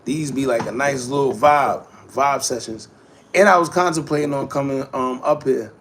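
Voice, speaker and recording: A man's voice: mid-range voice, moderate pace, a bit bright, a little hiss or hum. A man in his thirties hosting a casual livestream chat, talking in slangy, rambling street speech and answering viewer comments. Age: 30s. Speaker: host.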